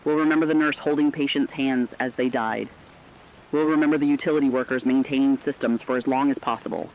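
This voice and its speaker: A man acting as an earnest, humane radio co-host in an apocalypse drama, with a mid-pitched voice. He speaks solemnly in rhetorical, scripted phrases, heard through a muffled, lo-fi broadcast sound. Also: steady tone, fairly quick, slight background noise, close to an everyday voice. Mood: solemn, moved. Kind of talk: scripted emergency broadcast commentary.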